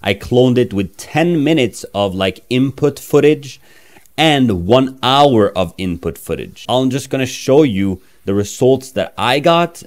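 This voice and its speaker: A man in his thirties from Norway, speaking with a Norwegian accent in a casual, playful software demo. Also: medium pitch. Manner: chatty, playful, self-mocking. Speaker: tech reviewer. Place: small room, indoors.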